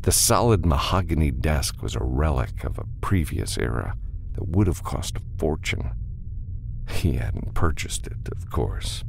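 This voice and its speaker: A male narrator reads dramatic science fiction prose. His voice is low and creaky, and his intonation moves around expressively as he goes. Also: darkish tone, slight background noise. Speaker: audiobook narrator.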